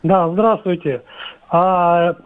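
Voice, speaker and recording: A man calling a radio show, speaking slowly and deliberately with pauses. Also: high-pitched, fairly even intonation, clean tone, dry recording. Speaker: caller. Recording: tinny phone line.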